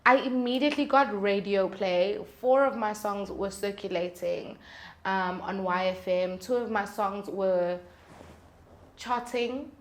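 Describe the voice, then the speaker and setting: A South African woman talking casually as an interview guest, telling stories in an animated way with rhetorical questions and acted-out reactions. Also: mid-range voice, measured pace. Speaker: guest, singer-songwriter.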